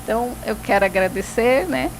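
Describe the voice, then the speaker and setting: A woman's voice: fairly high pitch, creaky, a crowd in the background, low-quality recording. A woman hosting a faith talk show, speaking reflectively and tagging phrases with "né". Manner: reflective, filling pauses with "né". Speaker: host.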